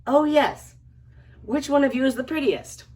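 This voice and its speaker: A woman's voice: mid-range voice, expressive, moderate pace, lightly acted. A woman playing a kindly, wondering very old man in a storybook reading. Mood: worried, eager. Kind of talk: reader's theater character acting. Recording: distant from the mic.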